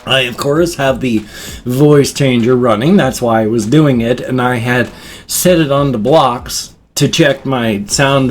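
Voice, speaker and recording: A man in his fifties vlogging from home at his desk mic, rambling and laughing at himself. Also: mid-range voice. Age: 50s. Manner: rambling, self-deprecating, chuckling. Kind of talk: casual chat. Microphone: desk microphone.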